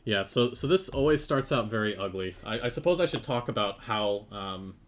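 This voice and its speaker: A man's voice: lowish voice, animated, moderate pace. A man who works as a 3D modeller, explaining things in a thoughtful, hesitant way on a casual live stream. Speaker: game developer and 3D modeller.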